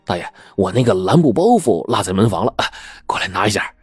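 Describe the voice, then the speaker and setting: A man's voice: on the low side, expressive, fast, breathy edge, dry recording, clean studio sound, faint music behind. A man doing a wheedling young crook. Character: anxious young male petty crook.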